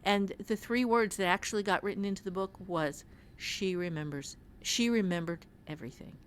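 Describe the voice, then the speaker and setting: Woman reflecting haltingly in podcast conversation. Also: mid-range voice, clear, resonant tone. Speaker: author and writing teacher.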